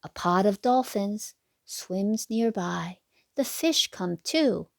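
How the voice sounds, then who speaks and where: Female storybook reader, unhurried and expressive, slight creak in the voice, close dry sound. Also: mid-range voice, no echo. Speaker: read-aloud narrator for children. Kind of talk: children's picture book read-aloud.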